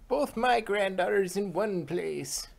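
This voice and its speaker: A woman's voice: mid-range voice, expressive, slow pace, creaky, no echo, heavily acted. A woman voicing an elderly, genteel grandmother pony with a theatrical, musing delivery in a story reading. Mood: pleasantly surprised. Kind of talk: dramatized fan fiction reading.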